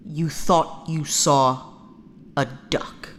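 A woman's voice: deep voice, steady tone, very slow, slight background noise. A woman playing a bossy, exasperated, trigger-happy spaceship captain, curt and impatient, in a sci-fi audio drama.

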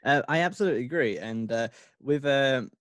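A young man hosting a relaxed podcast conversation. He talks in an easygoing, agreeable way, full of ums and uhs, and sounds dry and close to the mic. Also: mid-range voice, a little fast, no room sound. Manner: easygoing, agreeable, many fillers. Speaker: podcast host. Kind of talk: casual interview chat.

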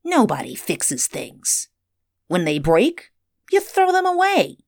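A woman's voice: very high-pitched, wildly swooping pitch, takes it slowly, a little mellow, pitched above the performer's own voice, exaggerated voice. A woman voicing a blunt, suspicious child who sneers and demands answers in a storybook reading. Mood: sneering.

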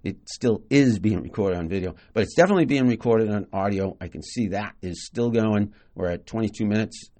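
A man's voice: lowish voice, fairly quick, few pauses, a little mellow. A man hosting a solo podcast, talking casually and enthusiastically, with many fillers and restarts. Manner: enthusiastic, praising. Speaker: podcast host. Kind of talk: solo podcast monologue on marketing.

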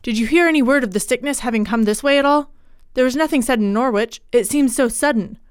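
A woman voicing a male country clergyman in a storybook reading, formal, brisk and authoritative. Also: high-pitched, smooth, no echo, studio quality, lightly acted. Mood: startled, concerned.

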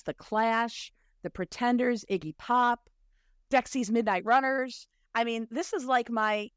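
A woman hosting a podcast, hoarse from illness, talking with enthusiasm. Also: fairly high pitch, dry recording, clean studio sound. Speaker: podcast host. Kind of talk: casual interview chat.

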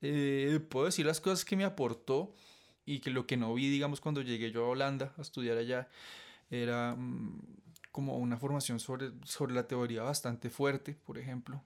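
A man's voice: mid-range voice, steady tone, moderate pace. A man with a Colombian accent answering casually in an interview as a musician, hesitating and correcting himself as he goes.

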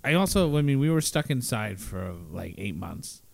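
A man's voice: mid-range voice, sing-song, moderate pace, creaky. Rambling young man co-hosting at home. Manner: rambling, lots of fillers and restarts. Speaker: podcast co-host. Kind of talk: casual chat. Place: at home with a cat. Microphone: shared handheld mic held close.

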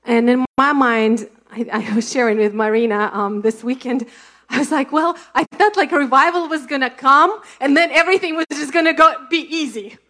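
A woman with a Russian accent, a ministry leader sharing a testimony in conversational church talk, animated and storytelling. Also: sits high, brisk. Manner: animated, laughing, storytelling with quoted thoughts.

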